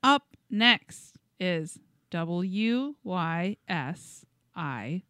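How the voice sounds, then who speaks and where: A woman chats casually as a podcast co-host, taking her time over her words. Her voice sits on the low side and is recorded dry and close. She comes across as playful and agreeable. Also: animated, drawn-out words, sharp tone, clean tone, dry recording. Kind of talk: casual chat.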